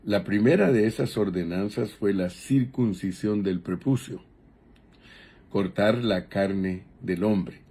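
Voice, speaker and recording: A man preaching a Bible teaching sermon in a deliberate, measured way, pausing between short phrases. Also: lowish voice, warm tone, breathy edge.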